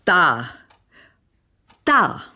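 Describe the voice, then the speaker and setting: Radio drama role: sulky, childlike, muddled woman, piercing tone, swinging pitch, halting delivery. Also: very animated, sharp tone, heavily acted. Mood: sulky, confused. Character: childlike, stubborn, confused woman being quizzed on pictures.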